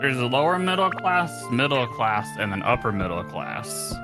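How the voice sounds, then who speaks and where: A man's voice: medium pitch, very animated, moderate pace, over music. A man chatting casually as a co-host on a game stream. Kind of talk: casual chat.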